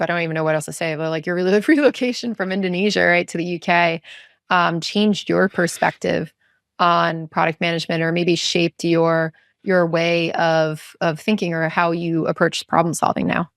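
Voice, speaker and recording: Woman, podcast host, low pitch, rambling questions, dry. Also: fairly even intonation, normal speed, dry recording. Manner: rambling, searching questions with fillers. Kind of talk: conversational interview questions.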